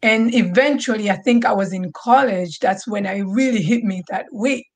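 A woman's voice: mid-range voice, moderate pace. A woman from Tanzania speaking as a panelist, talking conversationally with some hesitation. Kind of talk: panel discussion.

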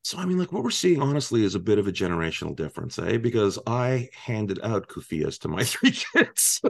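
A talkative man in his fifties leads a panel discussion with a gravelly grain and a voice that swoops up and down as he laughs through his asides. The sound is dry and close. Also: lowish voice, fairly quick, dry recording. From Iran. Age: 50s. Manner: animated, laughing often, asides and restarts. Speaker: host.

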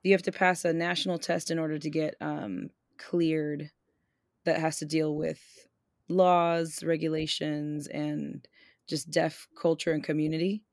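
A woman's voice: low-pitched, flat delivery, a little slow, creaky, a little mellow, no echo, clean studio sound. A woman from Puerto Rico co-hosting a podcast, chatting in an easygoing, agreeable way. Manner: easygoing, agreeable, many fillers. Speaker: podcast co-host. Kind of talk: casual podcast conversation.

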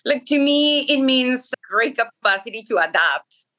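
A woman's voice: high voice, expressive, a little slow, a bit bright. A woman with a Colombian accent, a Spanish speaker by birth, answering interview questions earnestly and often restarting her phrases. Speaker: interview guest. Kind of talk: conversational interview.

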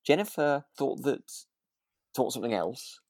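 A man's voice: medium pitch, lively intonation, measured pace, a little mellow, dry recording, clean studio sound. A British man co-hosting a casual chat podcast, phrasing his thoughts tentatively. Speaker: podcast co-host.

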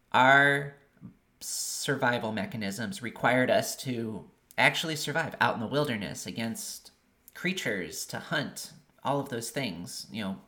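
Bright-voiced man giving an earnest monologue. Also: medium pitch. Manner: earnest, opinionated, explanatory with frequent restarts. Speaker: podcast host. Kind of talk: solo monologue.

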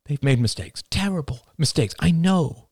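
A man's voice: on the high side, animated, normal speed, a little mellow, husky, no echo, clean studio sound, voice pushed up. A man voicing an anxious middle-aged mother who pleads emotionally in an audiobook. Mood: pleading. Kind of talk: audiobook character voice.

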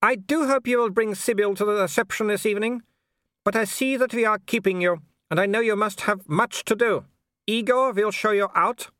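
Male narrator playing an icy, falsely sweet noblewoman; high, prim and clipped, in a dry booth. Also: moderate pace, sharp tone, no echo. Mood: haughty. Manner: prim, clipped and icily polite. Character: haughty, falsely sweet aristocratic baroness, middle-aged woman.

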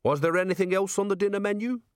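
A man's voice: high voice, quick pace, clear, resonant tone, dry recording, studio quality, voice pushed up, close to an everyday voice. A man voicing an earnest, patient city watchman in an audiobook, asking questions in a polite, matter-of-fact way. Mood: politely inquiring. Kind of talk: audiobook character voice.

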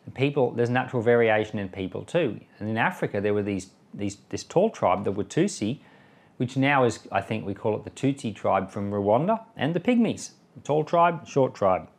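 A man's voice: fairly low pitch, animated, moderate pace. A man speaking as a pastor, giving informal Bible commentary and prayer in an earnest, explanatory, conversational way. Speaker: pastor and Bible podcast host.

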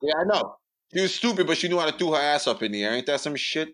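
A man's voice: on the high side, expressive, quick pace, a bit bright. A young man, telling stories bluntly and building up jokes on a podcast. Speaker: podcast co-host. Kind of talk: casual storytelling chat.

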